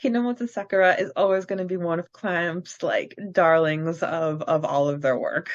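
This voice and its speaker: Chatty low-voiced woman on a dull mic. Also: steady tone, moderate pace. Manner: chatty, uses "like" often. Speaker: podcast co-host. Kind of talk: casual fan podcast chat.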